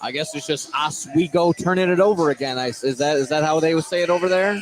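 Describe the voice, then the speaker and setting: A man's voice: on the high side, fast, faint music behind, poor audio. A man working as color commentator on a live basketball broadcast, analysing the play in a conversational way. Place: indoor school basketball gym, live courtside. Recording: crowd-filled live gym broadcast.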